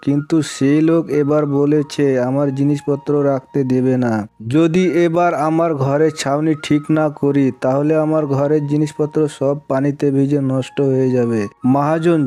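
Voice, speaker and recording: An actor playing a humble, worried poor village laborer in an earnest, respectful manner for a cartoon moral story. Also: male voice, fairly high pitch, mellow, close to an everyday voice. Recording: music behind the voice.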